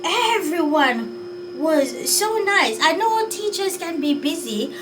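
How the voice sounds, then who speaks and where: A woman's voice: extremely high pitch, very animated, takes it slowly, distant mic, music in the background, low-quality recording. A Jamaican woman vlogging in a chatty, unsure way, sighing as she thinks things over. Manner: chatty, musing and unsure, with sighs. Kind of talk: casual talk to camera.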